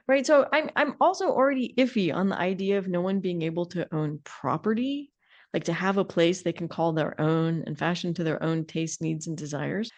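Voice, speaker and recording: A woman's voice: medium pitch, darkish tone, highs cut off. A middle-aged woman co-hosting a conversational podcast, talking in an opinionated, emphatic way. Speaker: podcast co-host.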